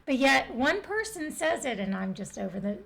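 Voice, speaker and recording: A woman with a raspy voice, a guest and TV morning show co-host, speaking candidly and with some self-doubt in a casual podcast conversation. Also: sits high, very animated, normal speed, a bit bright.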